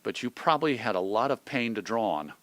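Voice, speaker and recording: A middle-aged American man talking as an interview guest in an animated, thoughtful, digressive conversational way. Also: lowish voice, fairly quick, no room sound. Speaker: interview guest, magazine publisher and radio host.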